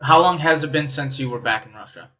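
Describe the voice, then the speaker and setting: A young man hosts a podcast, his voice bright and forward as he jokes around and swears casually. The sound is a little dull, with a faint hum underneath. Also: on the high side, quick pace. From Romania. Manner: loose and joking, swears casually. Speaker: podcast host. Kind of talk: casual interview chat.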